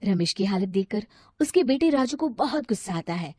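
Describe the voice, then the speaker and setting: Lady storyteller reading a scripted tale, normal pitch, no room echo. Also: expressive, normal speed, a little mellow, breathy edge, studio quality. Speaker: storyteller narrator.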